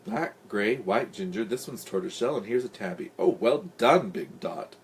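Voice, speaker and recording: A man reading the part of a calm, quietly firm householder in an audiobook story, murmuring and unruffled. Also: lowish voice, moderate pace, a little hiss or hum. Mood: calm, gentle.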